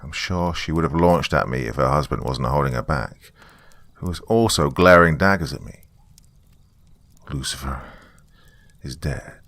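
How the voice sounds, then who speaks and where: Low-voiced man narrating a dark story. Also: lively intonation, moderate pace, creaky, no echo. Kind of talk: dramatic scripted first-person narration.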